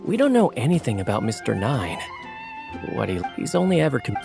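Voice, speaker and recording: A man voicing an agreeable, smooth-talking adventurer in a video game, conversational and a little flattering. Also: mid-range voice, brisk, over music. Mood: explaining.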